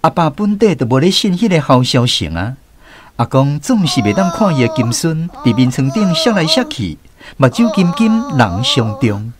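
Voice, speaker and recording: A middle-aged man narrating a scripted first-person radio story in a folksy, expressive way, with a Taiwanese accent. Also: medium pitch, moderate pace, noisy background. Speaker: drama narrator.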